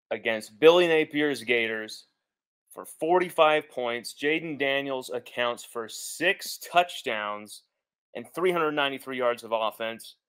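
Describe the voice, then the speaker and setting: A man's voice: mid-range voice, a bit bright, clean tone. Emphatic male football show host. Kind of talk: sports reaction commentary to webcam. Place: small living room, indoors.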